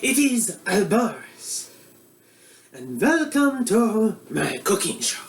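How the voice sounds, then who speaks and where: A woman playing a bumbling, shady male Eastern European TV cook who speaks slow, broken English and gets suspiciously defensive. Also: mid-range voice, very animated, recorded from a distance. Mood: deadpan. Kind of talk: comic cooking show parody. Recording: roomy, from the mic, faint ambience.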